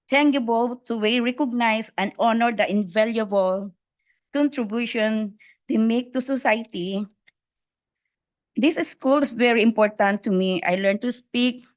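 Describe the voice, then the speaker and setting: A woman adult school student reading a public comment at a school board meeting in careful learner's English. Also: fairly high pitch.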